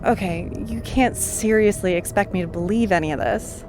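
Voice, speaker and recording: An actress voicing a sharp young woman who doubts every word she hears, teasing and dry in an audio drama. Soft music sits under her lines. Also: medium pitch, normal speed, lightly acted. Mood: skeptical. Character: skeptical, teasing young woman, a colleague and partner.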